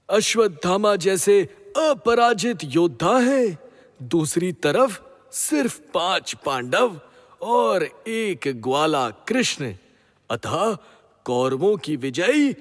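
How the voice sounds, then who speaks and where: War counselor, male actor, mythological radio drama. Bombastic and scornful, high-pitched formal declamation with hall reverb. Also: full character voice. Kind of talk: Hindi mythological audio drama acting.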